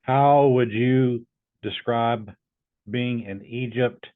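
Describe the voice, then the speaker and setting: A man's voice: mid-range voice, steady tone, drawn-out words, narrow, tinny audio. A man hosting a casual demo, talking informally and hesitantly as he tests a voice assistant. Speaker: video host testing a voice assistant.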